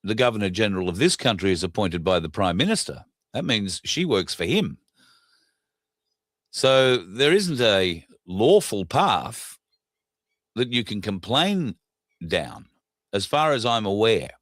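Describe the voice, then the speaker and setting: Hoarse Australian man, low blunt voice. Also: big pitch swings, measured pace, a bit bright, dry recording, studio quality. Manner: blunt, indignant, frequent uh fillers. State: hoarse, scratchy throat. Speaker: podcast host. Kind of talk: casual solo commentary.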